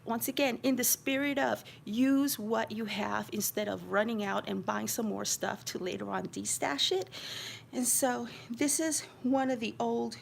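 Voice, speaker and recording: Middle-aged American woman, high creaky voice. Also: unhurried, slight background noise. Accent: American, slight New York. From Queens, New York, United States. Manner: chatty, rambling, addresses viewers as friends. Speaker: craft channel host showing her handmade album. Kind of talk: casual chatty craft show-and-tell.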